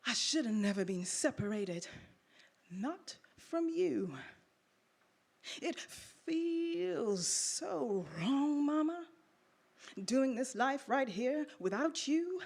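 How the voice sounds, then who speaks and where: A woman's voice: sits high, big pitch swings, drawn-out words, creaky. A woman performing spoken-word poetry live, drawing out her phrases dramatically. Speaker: poet performing own poem. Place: live storytelling event in a venue.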